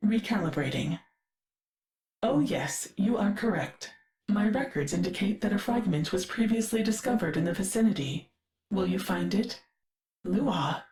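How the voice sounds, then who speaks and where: A woman playing a curious, forgetful artificial mind in a video game, an archivist who speaks formally and precisely with measured detachment. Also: mid-range voice, lively intonation, darkish tone, reverberant, lightly acted. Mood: confused, searching. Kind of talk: scripted video game character lines.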